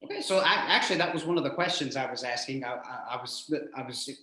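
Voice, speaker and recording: Halting male interviewer in an echoey room. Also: medium pitch, expressive, pushed and bright. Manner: halting, rambling, repeats words as he goes. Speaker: show host, interviewer. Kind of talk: casual conversational interview.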